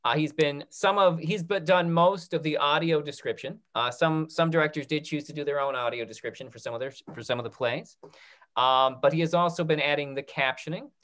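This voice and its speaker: A man's voice: fairly high pitch, a bit bright. A man in his thirties hosting a live question session on a video call, reading out comments and restarting his phrases as he goes. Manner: halting, restarts and repeats phrases. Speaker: host of an online theatre talk back. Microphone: computer mic on video call.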